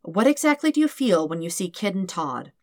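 Woman reader as a patient, measured, gently exasperated woman. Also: lowish voice, big pitch swings, no room sound, clean studio sound. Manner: measured, careful, matter-of-fact. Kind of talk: fan fiction audiobook reading.

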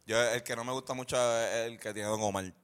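A man co-hosting a casual chat, animated, laughing a lot and mimicking others. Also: medium pitch, fairly quick, clear, resonant tone. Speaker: podcast co-host.

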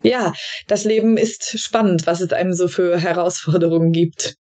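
A woman hosting a conversational podcast interview, phrasing her questions in a searching, halting way with trailing tags. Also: on the low side, fairly even intonation, warm tone. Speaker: podcast host interviewing a guest.

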